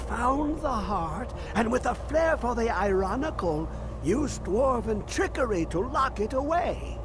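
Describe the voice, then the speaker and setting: An actor playing a mad, eccentric old male scholar in a video game, speaking theatrically and haltingly in gleeful riddles. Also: extremely high pitch, background noise, full character voice. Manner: theatrical, halting, refers to self in third person. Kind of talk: video game character acting.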